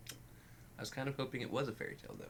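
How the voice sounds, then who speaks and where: A man's voice: medium pitch, fairly even intonation, fast talker, fairly bright tone, slight background noise. A man co-hosting a music reaction, chatting casually and cracking playful jokes. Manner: playful, joking. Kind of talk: casual chat.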